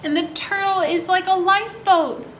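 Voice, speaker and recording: A woman speaking as a children's librarian, reading aloud and explaining things to young children in an animated way, often asking questions and answering them herself. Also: extremely high pitch, normal speed, slight background noise. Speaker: children's librarian hosting story time. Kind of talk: read-aloud and craft instruction for young children.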